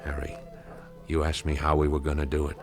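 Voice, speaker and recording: A cold, gravelly-voiced vengeful veteran. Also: male voice, a bass voice, monotone, brisk, music in the background. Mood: scheming coldly. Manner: cold, persuasive, matter-of-fact about killing. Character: vengeful male war veteran plotting a murder. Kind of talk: 1970s radio drama acting.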